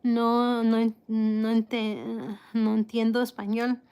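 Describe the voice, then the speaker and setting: A woman from the United States hosting a casual live chat, with slightly impeded articulation and a halting, unhurried manner. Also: on the high side, fairly even intonation, warm tone, clear, resonant tone. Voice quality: slightly impeded articulation from a false tooth and past stroke. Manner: halting, unhurried, greeting viewers by name. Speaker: live stream host.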